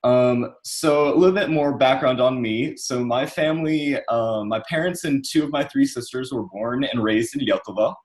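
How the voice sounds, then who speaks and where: A man in his twenties, born in the United States, talking on a panel over a video call in a relaxed, chatty way. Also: medium pitch, moderate pace, hardly any pauses. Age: 20s. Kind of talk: casual panel talk.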